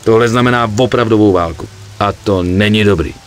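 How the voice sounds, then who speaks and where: An actor playing a brash, hot-tempered but loyal gangster in a video game cutscene, blunt and colloquial. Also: male voice, fairly low pitch, slight background noise, natural voice. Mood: bitter, worked up. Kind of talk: dramatic game cutscene dubbing.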